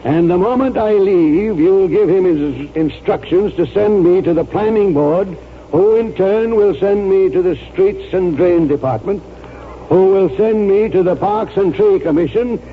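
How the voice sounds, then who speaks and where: Stubborn old army colonel, high voice, pompous speechifying, dull old radio sound. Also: male voice, slight background noise. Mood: resolute, orating. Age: 60s or older. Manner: pompous, deliberate, orating. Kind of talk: 1940s radio drama acting. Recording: dull vintage radio broadcast, faint music.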